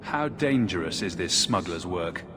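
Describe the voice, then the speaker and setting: An actor playing a young refugee hero in a video game, earnest, direct and questioning. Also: male voice, low-pitched, expressive, a little slow, background noise.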